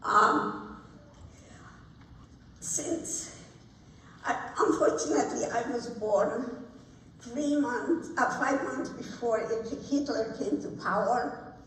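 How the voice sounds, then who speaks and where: A woman, telling her own story to an audience in simple, halting phrases. She takes her time, with long unhurried pacing. The sound is very reverberant and somewhat distant from the microphone, as in a big ceremony hall. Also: on the high side, fairly bright tone. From Budapest, Hungary. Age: 90s. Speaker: guest speaker, Holocaust survivor. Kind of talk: personal testimony to an audience. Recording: echoey hall, distant mic, faint noise.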